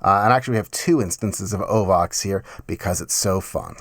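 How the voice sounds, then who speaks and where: A man in his thirties giving a casual, enthusiastic music software tutorial in a conversational way, close on a mic in a home studio. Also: low-pitched, brisk. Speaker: music production tutorial host. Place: small home studio, indoors.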